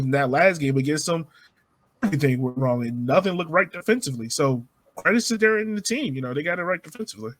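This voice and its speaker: A man hosting a sports podcast, chatting casually and colloquially about soccer in an animated, joking way. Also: fairly high pitch, no room sound. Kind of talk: casual soccer game discussion.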